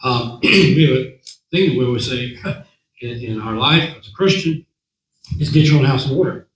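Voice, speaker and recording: A man with a hoarse voice speaking to a meeting as a guest speaker, talking in a conversational way, repeating words and often clearing his throat. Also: mid-range voice, expressive, moderate pace, roomy sound, slight background noise, rough recording. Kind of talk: talk to a meeting. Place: meeting room.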